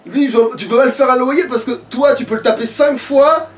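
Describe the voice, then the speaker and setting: High-voiced man gaming, far from mic. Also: moderate pace. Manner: grumbling, swears freely. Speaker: let's player. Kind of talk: casual gameplay chat with a co-player. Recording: distant mic with background noise.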